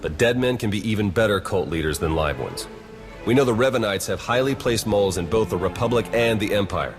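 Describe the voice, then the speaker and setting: Low-voiced wry spy played by a man, music behind. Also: animated, brisk, close to an everyday voice. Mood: matter-of-fact. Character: wry, pragmatic male spy agent, a young adult. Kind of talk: video game voice acting. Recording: loud game music underneath.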